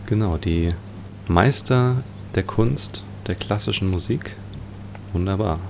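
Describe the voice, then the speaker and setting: Low, monotone man with gravelly voice. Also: slow pace. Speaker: let's play host. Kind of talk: casual game commentary. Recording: clearly noisy home recording.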